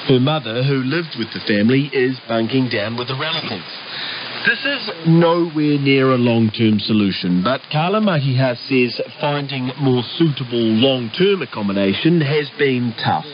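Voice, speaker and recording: A man's voice: medium pitch, animated, moderate pace. A man delivering a scripted news report as a reporter. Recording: dull hissy shortwave radio broadcast.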